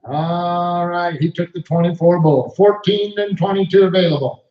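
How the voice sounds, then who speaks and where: A man working as a livestock auctioneer, calling bids in a rapid rhythmic chant. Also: sits high, steady tone, roomy sound, lo-fi sound. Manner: rhythmic repetitive bid calling. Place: cattle sale ring.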